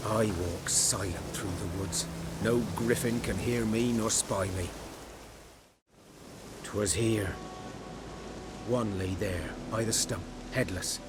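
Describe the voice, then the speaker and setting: A man's voice: fairly low pitch, slow pace, pauses often, very noisy. An actor playing a wary, plain-spoken village huntsman in a video game, with a folksy, brooding manner. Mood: grim, quiet.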